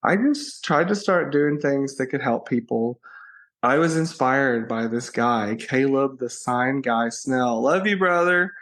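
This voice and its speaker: A man's voice: medium pitch, expressive, unhurried, fairly bright tone. A man, chatting in an interview as a guest, playful and bubbly and often laughing. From Alabama, USA. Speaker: guest, social media personality. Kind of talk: casual interview chat.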